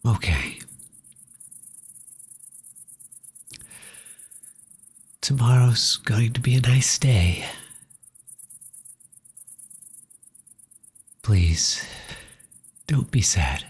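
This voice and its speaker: Casting: male actor for lonely, tender orphan in ASMR roleplay; very husky, near-whispered delivery, slow and intimate, heavy with grief. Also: medium pitch, lively intonation, full character voice. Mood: gentle reassurance. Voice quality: breathy, often dropping into whisper. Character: grieving, tender lonely orphan comforting a sick pet cat. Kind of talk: soft ASMR roleplay acting.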